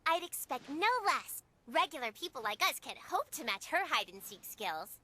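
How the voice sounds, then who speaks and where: A woman voicing a dreamy, easily upset little girl in a video game, childish and petulant with drawn-out words. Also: sits high, very animated, pushed and bright, full character voice. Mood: sulky. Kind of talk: video game cutscene acting.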